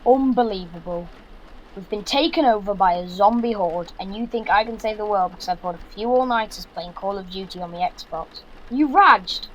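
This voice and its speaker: A man's voice: sits high, normal speed, a bit bright, dull audio, slight background noise. A young man playing a sarcastic, exasperated fifteen-year-old son in an audio drama, with a Yorkshire accent and blunt delivery. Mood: indignant. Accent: Yorkshire English. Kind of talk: naturalistic audio drama acting.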